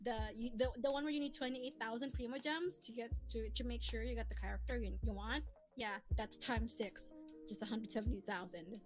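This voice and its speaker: Woman streamer, very high voice, chatty and giggly rambling storyteller, faint music underneath. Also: a little fast. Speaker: streamer and co-host. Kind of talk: casual chatty livestream talk.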